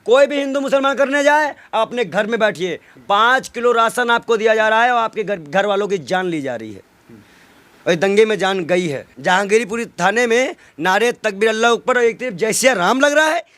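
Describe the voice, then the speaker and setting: A man's voice: high-pitched, lively intonation, moderate pace, a bit bright, no echo. A man giving an impassioned political interview, arguing indignantly with rhetorical questions and emphatic repetition.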